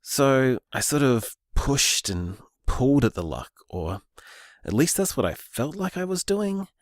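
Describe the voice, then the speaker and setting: A man's voice: medium pitch, unhurried, no echo, studio quality. An actor playing an earnest young man discovering luck magic, talking in a thoughtful, musing way. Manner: thoughtful, musing, lively delivery. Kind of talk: audio drama, voice-message monologue.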